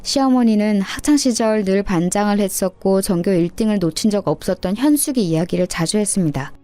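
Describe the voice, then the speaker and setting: A woman's voice: mid-range voice, brisk, warm tone. A woman reading a scripted first-person story as a narrator in a radio-story style.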